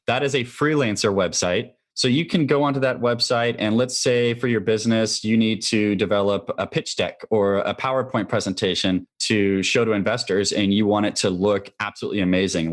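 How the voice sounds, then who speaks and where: A man speaking as an interview guest, explaining things in a casual, informal way. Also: fairly low pitch. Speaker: podcast guest, real estate investor.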